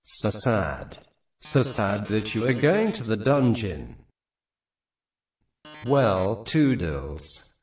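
A lovelorn robot thespian, acted by a man, declaims in an overwrought mock-opera manner for a video game. The delivery is very slow and dramatic, echoing from a distance over prominent music. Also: on the low side, sing-song, voice pushed down, exaggerated voice. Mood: mock sorrow.

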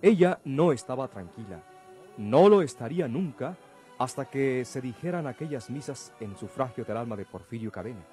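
A man's voice: medium pitch, lively intonation, normal speed, dark tone. Male radio drama narrator over faint music.

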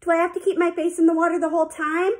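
A woman's voice: very high-pitched, level tone, racing, pitched above the performer's own voice. A woman voicing a stubborn, anxious young girl in a children's story, defensive and protesting.

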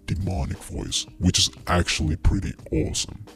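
A young man putting on a demon voice through a pitch-shifting voice changer, talking in a casual, explanatory way. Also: normal speed, music in the background. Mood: casual menace. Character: deep demonic monster voice.

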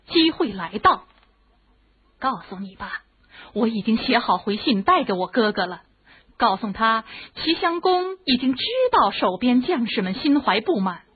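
A bold, scheming palace consort in a radio drama, goading and scornful. Her voice is high and very rough-edged, with impatient, lively swings. The recording is narrow and tinny, like a telephone line. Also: full character voice. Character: bold, scheming palace consort plotting revolt against the king.

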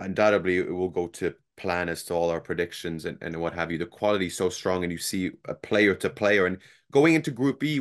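A young man in his twenties co-hosting a casual football chat from home on a headset, talking in a measured, hedging way. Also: fairly low pitch. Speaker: football podcast co-host. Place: home room with football shirts. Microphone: headset on video call.

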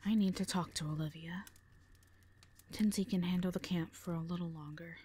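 A woman's voice: on the low side, fairly even intonation, normal speed, warm tone, slight background noise, lightly acted. A woman playing a blunt, protective warrior, sardonic but caring, in an audio roleplay drama. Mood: exasperated. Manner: blunt, dry, foul-mouthed.

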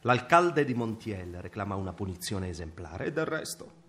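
A man's voice: lowish voice, very animated, normal speed, fairly bright tone. An actor in an Italian radio drama playing a lewd, capricious king of Castile who chuckles and speaks with pompous teasing. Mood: haughty. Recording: noisy radio drama recording.

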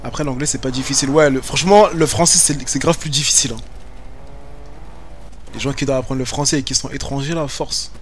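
A man's voice: mid-range voice, a little fast, slight background noise. A young man streaming a game from home, chatting with viewers in slangy, joking banter. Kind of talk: casual gaming commentary. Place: home room, webcam.

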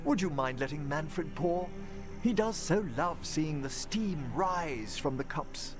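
Game casting: a refined older male necromancer, formal and measured, slow delivery, music behind. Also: on the high side, animated. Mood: gracious. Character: refined older gentleman necromancer, courteous and wistful. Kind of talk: video game dialogue acting.

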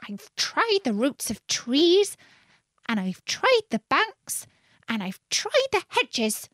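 A harried storybook pigeon, a scolding female bird with a very high, swooping voice. She complains indignantly and exclaims with suspicion, the recording close and dry. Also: wildly swooping pitch, takes it slowly, a bit bright, no echo, exaggerated voice. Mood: sobbing complaint. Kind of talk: storybook character reading.

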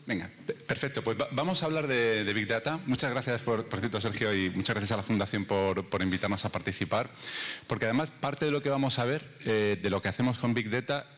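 A man's voice: fairly low pitch, brisk, a bit bright. A man in his forties opening a conference talk in a large hall with a casual, stumbling start, speaking into a handheld mic. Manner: casual, stumbling on first words. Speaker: guest speaker. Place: large indoor event hall with audience. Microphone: handheld microphone.

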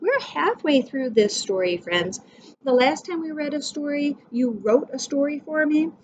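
Female teacher, middle-aged, unhurried and very animated storybook delivery, slightly dull recording. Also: high voice. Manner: expressive, addressing children directly. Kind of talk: storybook read-aloud for young children. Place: small indoor room with whiteboard. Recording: dull sound, faint background noise.

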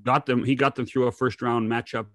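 A man's voice: medium pitch, flat delivery, a little fast, no echo, clean studio sound. An older man with a North American accent giving casual sports commentary as a co-host, repeating words for emphasis. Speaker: podcast co-host. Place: home room on a video call. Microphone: desk microphone close up.

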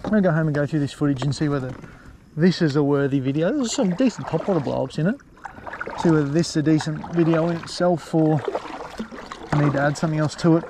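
A man's voice: a little high, noisy background. A man fishing from a kayak, chatting casually and muttering at the fish he misses. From Australia. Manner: laid-back, muttering exclamations at fish. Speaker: fishing vlogger. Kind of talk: casual outdoor fishing chat. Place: kayak on open water outdoors.

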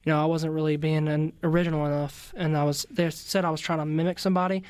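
Teen male singer, raspy, slightly high, dry studio sound, shy hesitant answers. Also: flat delivery, darkish tone, no room sound. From Mississippi-Louisiana border. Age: teens. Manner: polite, halting, many fillers. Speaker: interview guest, singer. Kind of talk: casual interview. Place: radio studio. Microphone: studio mic with headphones.

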